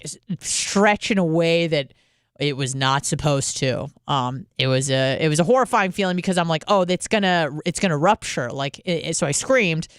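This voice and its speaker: Crisp-voiced profane female radio host. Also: low-pitched, no echo, studio quality. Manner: rambling, profane, frequent fillers. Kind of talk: casual call-in radio talk.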